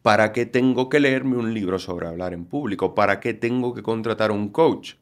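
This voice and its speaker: A Dominican man with a Galician-tinged Spanish accent, explaining things in a lively way as a guest on an interview podcast. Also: lowish voice, moderate pace. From Dominican Republic. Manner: animated, explanatory, acts out quotes. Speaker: communication trainer, guest.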